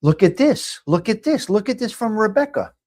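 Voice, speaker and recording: A man's voice: high-pitched, sing-song, moderate pace, a little mellow. A man with a New York accent hosting a casual live chat show, talking emphatically, often repeating himself and restarting. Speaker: livestream host. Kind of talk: casual live chat, reading viewer comments aloud.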